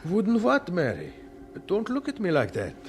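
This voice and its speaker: An actor playing a devout young preacher in an audio drama, humble and earnest, with a hesitant, halting delivery. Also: male voice, high voice, wildly swooping pitch, over loud music, heavily acted.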